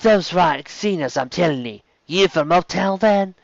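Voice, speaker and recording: A man voicing a gruff female bartender mare with a put-on Cockney accent, clipped words slurred together. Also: high voice, expressive, unhurried, dry recording, muffled recording, voice pushed up, heavily acted. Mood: wary.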